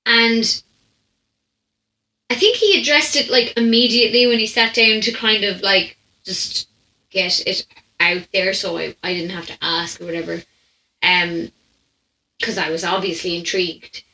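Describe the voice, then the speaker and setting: A woman's voice: mid-range voice, measured pace, pauses often, pushed and bright. A young Irish woman telling stories off the cuff as a podcast host, chatty and rambling with asides, on a stand microphone in a room at home. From Ireland. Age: young adult. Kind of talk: casual off-the-cuff storytelling. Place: small room at home, indoors. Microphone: microphone on a stand, close in front. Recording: roomy home recording.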